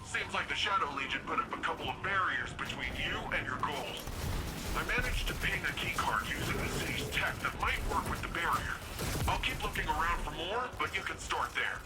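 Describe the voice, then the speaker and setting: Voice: medium pitch, animated, fairly quick, natural voice. An actor playing an upbeat, wisecracking young cyborg protector in a video game, with a breezy, informal manner. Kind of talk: video game radio dialogue. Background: music.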